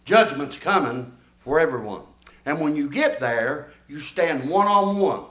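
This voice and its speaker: An older man preaches from the pulpit, his voice pitched a little high. He is folksy and emphatic, putting questions to the congregation. The sound carries through an echoey church room. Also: animated, measured pace, fairly bright tone. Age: 70s. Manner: folksy, emphatic preaching with audience call-and-response. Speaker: pastor. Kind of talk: sermon.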